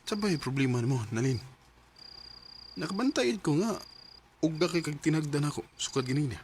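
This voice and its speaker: An actor playing an eager, emotive young boyfriend in a radio drama, with a Cebuano accent. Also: male voice, fairly high pitch, animated, fairly quick, darkish tone, a little hiss or hum. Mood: irritated. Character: Roy, eager young boyfriend, affectionate son and brother.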